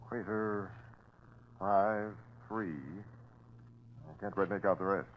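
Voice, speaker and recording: An actor playing a methodical, commanding police captain, giving clipped orders in 1940s radio crime drama style. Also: male voice, on the low side, flat delivery, takes it slowly, highs cut off. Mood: intent. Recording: noisy 1940s radio broadcast recording.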